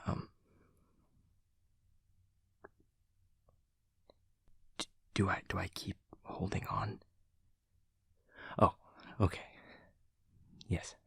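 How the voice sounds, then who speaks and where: A man playing an awkward, earnest young demon classmate, speaking softly and breathily with hesitant stammers and stiffly formal wording in an intimate audio roleplay. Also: sits low, no echo, clean studio sound, heavily acted. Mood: unsure, tender. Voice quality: soft, breathy, husky. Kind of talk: intimate audio roleplay, whispery ASMR-like acting.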